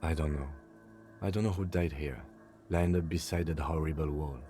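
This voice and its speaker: Reserved cop lieutenant, calm, methodical, very low, mellow tone. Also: male voice, moderate pace, faint music behind. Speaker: police lieutenant in a video game.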